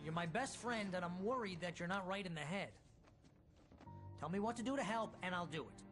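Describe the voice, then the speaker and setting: An actor playing a fretful middle-aged literary agent who fires off worried, sarcastic questions in a video game cutscene. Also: male voice, high voice, fairly quick, close to an everyday voice. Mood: earnest. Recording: game audio with music under it.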